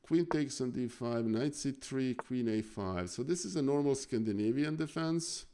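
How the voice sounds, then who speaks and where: A man from Serbia, casually chatting on a live stream while going over chess games, halting with many fillers and thanking viewers. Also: medium pitch, lively intonation, mellow, a little hiss or hum.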